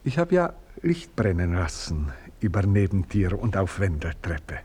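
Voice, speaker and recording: A man's voice: low-pitched, big pitch swings, a little slow, darkish tone, breathy edge, full character voice. An actor playing a fussy, servile male servant who stammers and talks with stiff, overly formal deference, in a radio play.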